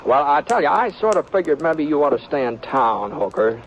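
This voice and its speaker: An American actor playing an easygoing frontier gunman who also narrates, in halting, folksy radio western acting. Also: fairly high pitch, sing-song. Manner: halting, folksy drawl with many hesitations. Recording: noisy dull old radio broadcast.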